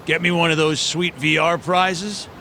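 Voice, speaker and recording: Gravelly, chatty homeless guy. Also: on the high side, level tone, a little slow, sharp tone, a little hiss or hum, full character voice. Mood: chatty, wheedling. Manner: slangy, folksy, familiar. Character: chatty, folksy homeless man trading tips and favors. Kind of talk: video game cutscene acting.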